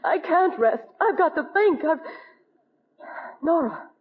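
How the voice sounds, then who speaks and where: An actress playing a fragile, distraught young wife, anguished and pleading in 1940s radio melodrama style. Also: female voice, high-pitched, lively intonation, takes it slowly. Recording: tinny 1940 radio broadcast.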